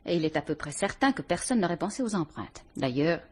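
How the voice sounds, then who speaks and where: An actress playing a guarded widow suspected of murder, answering a police inspector with cool sarcasm in a vintage radio drama. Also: female voice, on the low side, very animated, brisk, a little mellow, slightly rough.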